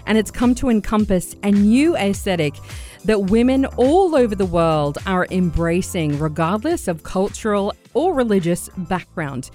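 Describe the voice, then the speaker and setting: A woman's voice: mid-range voice, sing-song, moderate pace, dark tone, soft background music. A woman hosting a radio interview show, introducing and questioning a guest in an enthusiastic way with some fillers. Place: radio studio.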